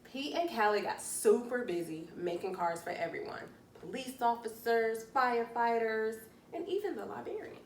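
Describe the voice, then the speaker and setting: Animated woman reading aloud to young children. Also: on the high side. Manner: deliberate, emphatic, drawn-out key words. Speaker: children's story-time teacher. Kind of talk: expressive read-aloud narration for young children. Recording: roomy, distant mic, faint noise.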